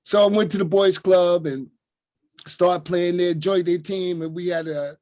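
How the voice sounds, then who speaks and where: A man's voice: high-pitched, steady tone, a little slow, a little mellow, no room sound. Older man, easygoing storyteller, dry sound. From Newark, New Jersey. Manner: easygoing, leans on "you know what I'm saying". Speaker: retired coach, guest. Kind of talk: relaxed storytelling.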